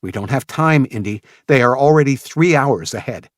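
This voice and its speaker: A man's voice: medium pitch, lively intonation, normal speed, no room sound, clean studio sound, pitched above the performer's own voice, natural voice. A man voicing a tense, impatient armed father in an audiobook, speaking with urgent insistence. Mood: urgent, determined.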